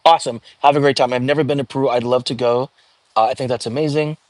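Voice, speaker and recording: A man hosting a casual live stream, chatting and reading viewer comments aloud with lots of fillers and restarts, in a calming voice. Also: medium pitch, quick pace, no room sound. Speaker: livestream host.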